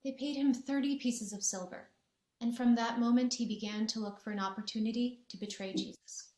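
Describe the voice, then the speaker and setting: A woman's voice: a little high, fairly even intonation, fairly quick, mellow. Woman narrating scripture, echoey church. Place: church sanctuary.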